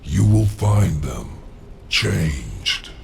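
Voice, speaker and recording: A man's voice: deep voice, big pitch swings, very slow, creaky, a little hiss or hum, full character voice. A man playing a suave, scheming demon lord in a video game cutscene, eloquent, haughty and sly. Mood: cool, sly.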